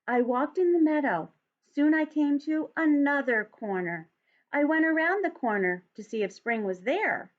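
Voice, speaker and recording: Lady reading as a friendly storybook frog guy, high voice, patient measured storytelling, bit muffled audio. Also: animated. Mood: wistful, storytelling. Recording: dull, lo-fi home recording.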